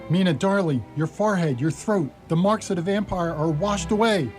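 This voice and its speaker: A man's voice: sits high, moderate pace, music in the background, lightly acted. A man acting a tender, earnest, protective young husband in a radio play. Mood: relieved.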